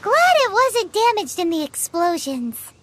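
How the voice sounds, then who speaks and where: A chirpy tiny fairy companion. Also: female voice, extremely high pitch, sing-song, measured pace, very noisy, exaggerated voice. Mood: urgent. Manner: scolding, urging, chirpy. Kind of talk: video game voice acting. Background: game music.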